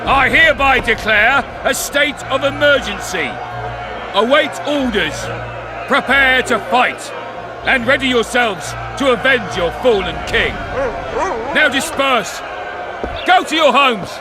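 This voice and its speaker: An actor playing a brash, scornful town commandant in a video game, coarse and menacing. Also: male voice, extremely high pitch, animated, music in the background, a crowd in the background, heavily acted. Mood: declamatory. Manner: barking, scornful, slow deliberate delivery.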